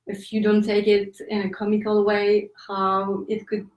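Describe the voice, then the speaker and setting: A woman's voice: medium pitch, monotone, unhurried, a little mellow, far from the mic. A woman with a French accent, answering interview questions as an author, hesitant with many fillers and laughing asides. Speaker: author guest. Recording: roomy echo, off-mic.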